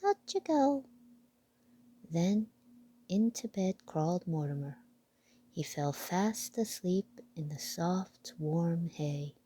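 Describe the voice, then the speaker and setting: Female children's story reader, unhurried, lowish pitch, deliberate phrasing, read-aloud narration. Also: mellow, breathy edge. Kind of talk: picture book read-aloud.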